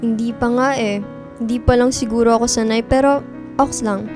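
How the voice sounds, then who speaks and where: A woman's voice: on the high side, fairly even intonation, normal speed, music in the background, close to an everyday voice. A woman playing a sulky, teasing college-age best friend in a radio drama, with blunt slangy banter and a guarded streak. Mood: guarded, reluctant.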